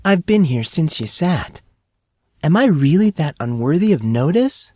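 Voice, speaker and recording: A man's voice: fairly high pitch, sing-song, unhurried, dark tone, no room sound, studio quality, pitched above the performer's own voice. A man voicing a bespectacled teenage schoolgirl in an audiobook, girlish and frilly, by turns forlorn and coy.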